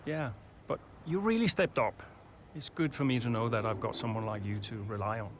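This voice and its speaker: A man acting as an earnest, guarded racing team boss in a video game. His medium-pitched voice is understated and halting, confiding over faint background noise. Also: natural voice. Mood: sincere, grateful.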